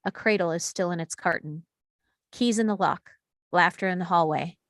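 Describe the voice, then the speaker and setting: A woman's voice: fairly low pitch, moderate pace, no echo, studio quality. A woman reading stage directions as scripted narration at a screenplay table read.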